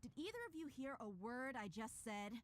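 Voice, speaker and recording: A woman's voice: a little high, expressive, brisk, background noise, natural voice. An actress playing a brash, tough female mercenary in a video game, speaking in a mocking, confrontational way. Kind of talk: video game cutscene voice acting. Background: game music.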